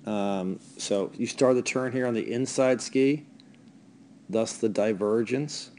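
Relaxed, hesitant man coaching skiing. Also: mid-range voice, slow pace, a little hiss or hum. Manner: relaxed, hesitant, many fillers. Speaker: ski racing coach. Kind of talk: video analysis voice-over.

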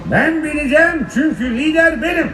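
A man's voice: very high voice, normal speed, bright, forceful tone, a faint crowd. A man playing a short, grumpy, bossy gang leader in a theatrical children's fairy-tale stage show. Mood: impatient, bossy. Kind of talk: children's stage musical acting. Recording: echoey hall stage sound with music.

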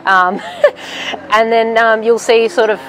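A woman's voice: a little high, wildly swooping pitch, takes it slowly, a bit bright, low-quality recording. A woman in her thirties, a professional triathlete, answering interview questions outdoors by a street, hesitant with many ums and restarts.

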